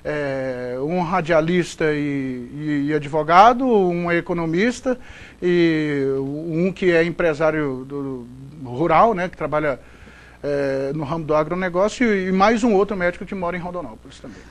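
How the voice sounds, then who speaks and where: A man's voice: a little high, moderate pace. A middle-aged man from Goiás with a Goiás Brazilian accent, a sports broadcaster giving chatty, rambling interview answers. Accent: Goiás Brazilian Portuguese. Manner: chatty, rambling, frequent fillers and restarts.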